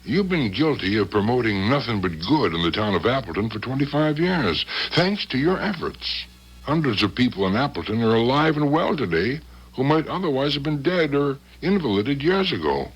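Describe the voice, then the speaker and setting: Folksy, shrewd attorney, wry and halting, very animated husky voice, seventies radio drama. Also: male voice, mid-range voice, rough recording. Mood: sly, triumphant. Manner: wry, hesitant, leading questions. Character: shrewd, folksy male country lawyer, calmly needling. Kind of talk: 1970s radio drama acting.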